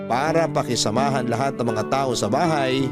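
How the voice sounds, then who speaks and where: A man with a Filipino accent reading a letter as a radio-style story, in measured, deliberate phrases. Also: mid-range voice, over loud music. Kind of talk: first-person dramatic storytelling, reading a letter. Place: dry studio, music behind.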